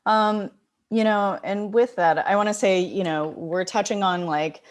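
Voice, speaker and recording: A woman's voice: mid-range voice, a little slow. A woman moderating a festival Q&A, asking questions hesitantly with many fillers.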